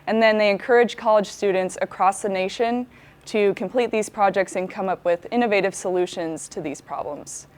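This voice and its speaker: A woman's voice: mid-range voice, moderate pace. A young woman student hosting a casual walkthrough of a class project in a university lab, talking upbeat and friendly. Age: young adult. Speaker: student host of the video. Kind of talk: casual presenting. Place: university engineering lab, indoors.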